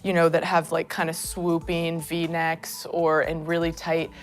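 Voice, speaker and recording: A woman boom operator speaking in a documentary interview, measured and thoughtful, with frequent pauses. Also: monotone, soft background music.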